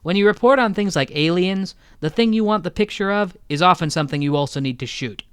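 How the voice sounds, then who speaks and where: A man speaking as an interview guest, an audio drama creator with deadpan joking. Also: high-pitched, fairly quick, smooth, no echo, clean studio sound. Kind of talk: interview conversation.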